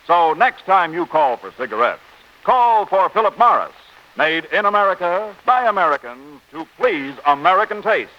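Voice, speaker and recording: A man delivering an emphatic 1940s radio sales pitch as announcer, on a dull old recording. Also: sing-song, normal speed.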